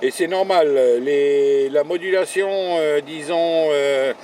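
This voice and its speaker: A man's voice: fairly high pitch, slow, darkish tone, slight background noise. A man chatting casually as an amateur radio operator, using call signs and jargon and repeating words for emphasis. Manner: repeats words, often ends phrases with "là". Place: inside a car, mobile radio station.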